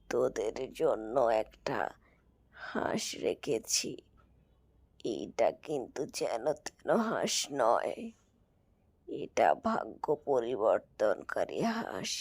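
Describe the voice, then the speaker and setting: A woman voicing a frail, kindly old beggar woman in a cartoon fairy tale, with a breathy voice and a humble manner. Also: a bass voice, a little mellow, dry recording, exaggerated voice.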